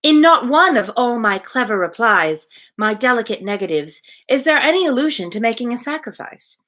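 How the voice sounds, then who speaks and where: Casting: female reader as genteel young heroine of a storybook, composed, musing, emphatic, with highish, animated pitch. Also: normal speed. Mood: self-assured. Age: young adult. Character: self-assured young genteel woman, musing over her suitor.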